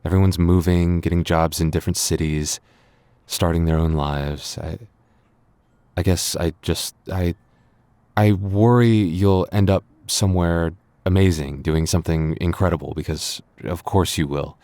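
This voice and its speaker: Guy playing a shy lovestruck young dude, low voice, stammers a lot, bf asmr roleplay, really dry close sound. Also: fairly even intonation, unhurried, mellow, dry recording. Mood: wistful, anxious. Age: young adult. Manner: stammering, bashful. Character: flustered, lovestruck young man, friend's younger brother. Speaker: voice actor. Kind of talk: boyfriend ASMR audio roleplay.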